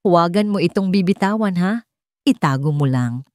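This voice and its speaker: A woman voicing an anxious, tender woman in an audio story, protective of a child, speaking with soft emotion. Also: lowish voice, expressive, moderate pace, dry recording, studio quality, close to an everyday voice. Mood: tender, reassuring.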